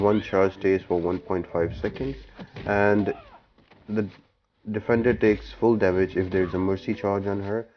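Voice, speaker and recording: A man with a low-pitched voice and a dark, relaxed tone gives a video game guide. He explains things step by step in an instructional way, with faint music playing behind him. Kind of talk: scripted-sounding game walkthrough commentary.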